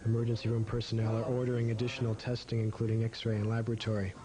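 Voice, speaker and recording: A man giving measured, formal, explanatory medical narration. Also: fairly low pitch, monotone, a crowd in the background. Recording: 1980s amateur video, busy room noise. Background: busy emergency room voices.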